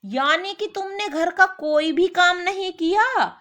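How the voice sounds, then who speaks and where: A woman voicing an exasperated working wife in an animated moral story, firm and sarcastic, scolding with questions. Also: very high voice, slow pace, pitched above the performer's own voice, heavily acted.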